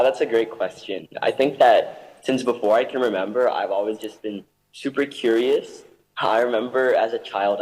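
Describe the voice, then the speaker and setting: A man's voice: fairly low pitch, darkish tone, long reverb tail, rough recording. Earnest young man from Ethiopia. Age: young adult. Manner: eager, earnest, with fillers. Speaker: guest. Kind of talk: remote interview answers. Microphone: video call.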